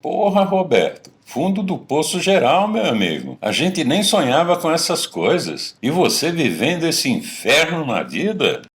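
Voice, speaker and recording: A man plays a blunt, probing old friend in a Brazilian radio play. His intonation is extremely animated, leaping widely up and down. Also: a little high, unhurried, hardly any pauses. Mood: incredulous, sympathetic. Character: frank, probing male old friend, moved by confession. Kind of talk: radio drama dialogue.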